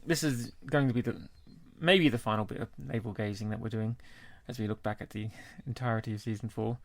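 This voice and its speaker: A man co-hosting a podcast who talks casually and reads through lists in a brisk, plain way. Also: lowish voice, fairly even intonation, gravelly, dry recording. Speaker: podcast co-host. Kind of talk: casual chat.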